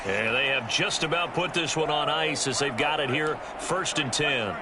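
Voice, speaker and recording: Actor as football play-by-play announcer, loud background noise. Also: male voice, fairly high pitch, normal speed, natural voice. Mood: engaged, composed. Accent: American. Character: male TV football play-by-play announcer, brisk and composed. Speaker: play-by-play sports commentator. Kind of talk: scripted football video game play-by-play commentary. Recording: loud noise behind the voice, game stream.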